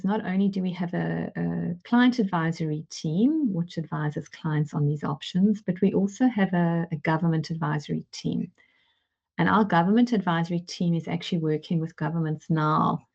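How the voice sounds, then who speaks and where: A woman's voice: fairly low pitch, vocal fry, a little mellow, slightly rough, highs cut off. A woman from South Africa speaking as an advisor in a webinar discussion, hesitant, with many fillers and repeated words. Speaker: managing director at an advisory firm.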